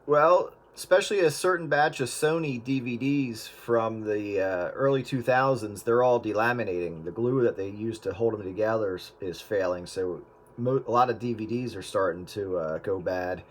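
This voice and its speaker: A man hosting a casual radio chat, explaining in a relaxed way with frequent fillers. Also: fairly low pitch, moderate pace, a little hiss or hum. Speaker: radio show host. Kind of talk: casual chat about converting old media.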